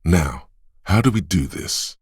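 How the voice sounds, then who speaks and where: An actor voicing a disembodied male inner sense of perception in a game, speaking in a hushed, measured, quietly descriptive way in the second person. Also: low-pitched, lively intonation, slightly rough, dry recording, studio quality, full character voice. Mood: hushed observant.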